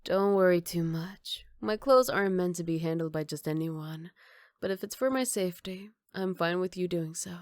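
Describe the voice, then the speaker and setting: A woman acts out a teasing, self-assured female villain for an ASMR roleplay. The voice is lowish, soft and breathy, sitting very close and dry. She takes her time, with sly, flirty mock politeness. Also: normal speed, dry recording, natural voice. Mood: coy, mock-polite.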